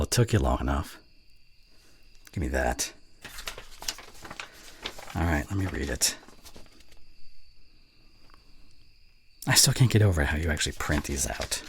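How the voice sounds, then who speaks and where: Casting: male actor for snarky college student in ASMR roleplay, young, low husky voice, teasing, grumbling, secretly fond. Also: full character voice. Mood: bantering, critical. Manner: teasing, grumbling, with sighs and breathy murmurs. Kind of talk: intimate ASMR roleplay acting.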